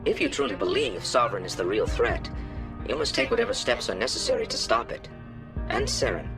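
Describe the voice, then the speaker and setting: An actor playing a cautious, skeptical alien councilor in a video game, formal and emphatic, with music behind him. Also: male voice, fairly low pitch, huge pitch swings, fairly quick. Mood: stern, cautious.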